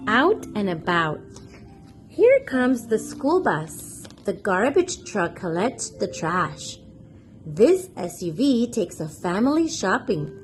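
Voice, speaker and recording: A woman reads a picture book aloud for small children at a slow, measured pace, with a pitch that rises and falls in lively swings. She speaks expressively and turns to her young listeners with questions. Music plays behind her voice. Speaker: children's read-aloud storyteller and channel host.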